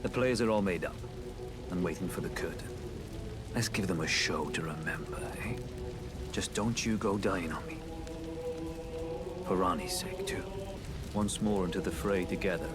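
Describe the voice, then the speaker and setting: A man's voice: sits low, measured pace, long pauses. A man voicing a roguish, sardonic veteran warrior in a video game, talking wryly and familiarly to a comrade. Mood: wry, eager. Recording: loud music and rain behind.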